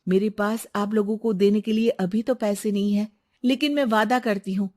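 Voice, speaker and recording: A woman's voice: medium pitch, fairly even intonation, moderate pace, darkish tone, natural voice. A woman voicing a determined young businesswoman in a cartoon moral story, firm, earnest and persuasive. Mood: earnest promise.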